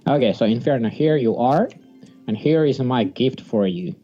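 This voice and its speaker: A mellow, relaxed male voice, commentating casually on a strategy game. He speaks haltingly, at an ordinary middle pitch. The recording is a bit dull and lo-fi, with music playing behind him. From Sweden.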